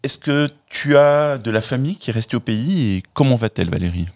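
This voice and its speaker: A man hosting a podcast, reading a scripted opening and then asking measured, articulate interview questions. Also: mid-range voice, expressive, darkish tone. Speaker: podcast host. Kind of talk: interviewing, partly scripted intro.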